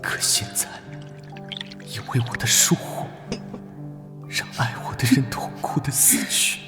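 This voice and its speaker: A man playing a gentle, burdened young knight vice-captain in an animated drama, speaking in a soft, subdued way. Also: a little slow, close to an everyday voice. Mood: grieving, subdued. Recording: loud music under the voice.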